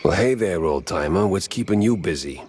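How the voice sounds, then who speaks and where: An actor playing a hardboiled mouse private eye in a noir cartoon game, with a tough, deadpan, sardonic delivery. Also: male voice, sits low, normal speed, a little hiss or hum. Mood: wry, cool. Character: hardboiled, wry male mouse private detective. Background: loud music.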